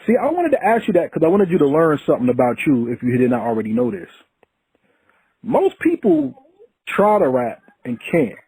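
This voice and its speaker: A man's voice: fairly high pitch, wildly swooping pitch, a little fast, gravelly, dark tone, breathy edge, dry recording, poor audio. A man hosting a hip-hop podcast, asking questions in an earnest, preachy way. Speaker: podcast host. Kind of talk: casual interview.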